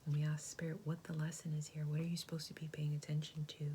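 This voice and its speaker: A woman giving a tarot reading in a soft, gentle, reassuring whisper. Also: sits low, completely flat delivery, fast, a little hiss or hum. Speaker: tarot reader.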